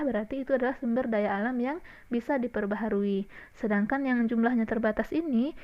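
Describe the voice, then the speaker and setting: A woman primary school teacher talking gently to her pupils in a recorded online lesson, with an Indonesian accent. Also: a little high, lo-fi sound. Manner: gentle, addresses pupils directly.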